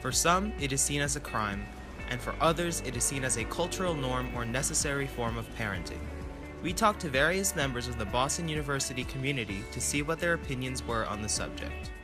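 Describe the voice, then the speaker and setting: A man's voice: medium pitch, expressive, a little fast, music in the background. A man presenting with scripted narration.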